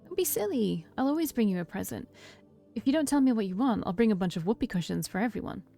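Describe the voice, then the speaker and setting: A woman's voice: medium pitch, lively intonation, quick pace, warm tone, faint music behind, close to an everyday voice. A woman voicing a blunt, fond, protective older sibling in a story reading. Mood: teasing, fond.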